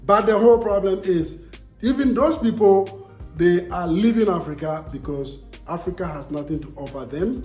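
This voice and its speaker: A man's voice: fairly high pitch, a little mellow, roomy sound. A young Ghanaian man with a Ghanaian accent, vlogging casually and answering viewer comments in an emphatic way, repeating himself and asking rhetorical questions.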